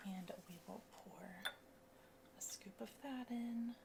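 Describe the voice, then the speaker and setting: A soft-spoken woman doing an ASMR cosmetics consultation roleplay, breathy and often slipping into a whisper, explaining each step in a casual, reassuring way. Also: on the high side, takes it slowly, noisy background.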